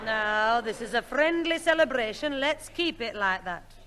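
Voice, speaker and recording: A woman's voice: on the high side, lively intonation, unhurried. An actress playing a sensible, firm, peacekeeping mother in a 1960s radio sitcom. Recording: reverberant, faintly hissy old radio recording.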